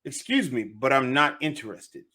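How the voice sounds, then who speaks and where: A young man teaching a language lesson, repeating phrases slowly and switching between two languages in an animated way. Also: mid-range voice. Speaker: Spanish teacher.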